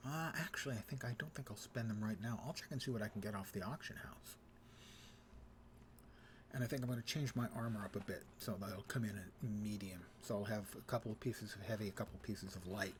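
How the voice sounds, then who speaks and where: A man's voice: on the low side, brisk. Middle-aged man casually streaming, noisy background. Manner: thinks aloud while playing. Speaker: live game streamer. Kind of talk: casual gameplay commentary.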